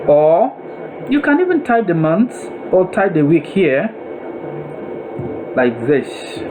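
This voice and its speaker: A man narrating a step-by-step software tutorial in a deliberate, instructional way, repeating and rephrasing himself as he goes. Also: a little high, big pitch swings, background noise.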